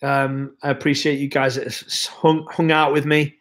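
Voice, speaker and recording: A young man hosts a relaxed livestream, rambling from one viewer comment to the next with plenty of ums and restarts. He is still ill and his voice is wearing thin. His pitch sits in an ordinary middle range. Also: normal speed. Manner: relaxed, rambling, chatty with many fillers. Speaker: livestream host. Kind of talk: casual livestream chat reading viewer comments.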